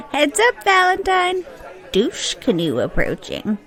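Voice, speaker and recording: A woman playing a small, sassy, excitable sidekick with a squeaky, exclaiming voice in an audio drama. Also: takes it slowly, a faint crowd, exaggerated voice. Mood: mocking.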